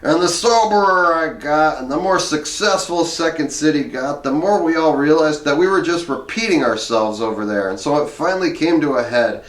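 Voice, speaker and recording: A man's voice: on the high side, normal speed, few pauses, pitched above the performer's own voice, lightly acted. Man acting a rambling bohemian guru, roomy echo. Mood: rambling, earnest. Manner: run-on, scattershot monologue. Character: rambling, drug-worn bohemian older man, improv guru. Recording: roomy, echoing room sound.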